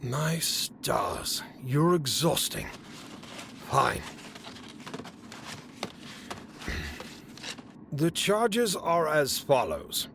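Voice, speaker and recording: An actor playing a haughty, exasperated fae council official in a fantasy comedy audio drama, speaking formally and officiously. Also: male voice, on the high side, big pitch swings, very slow, vocal fry, background noise, exaggerated voice.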